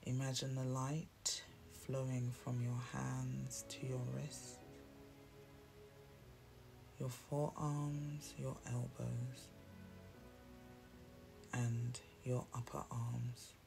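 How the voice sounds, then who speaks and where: Very slow, gravelly meditation guide. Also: medium pitch. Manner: soothing, hushed, deliberate with long pauses. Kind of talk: guided meditation, scripted narration. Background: soft instrumental music.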